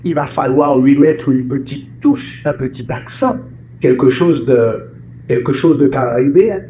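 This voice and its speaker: A man's voice: medium pitch, expressive, unhurried, dark tone, lo-fi sound, a little hiss or hum. A man from France with family roots in Martinique, telling stories about voice dubbing in a casual interview, acting out the lines he quotes. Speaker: voice actor and singer, guest.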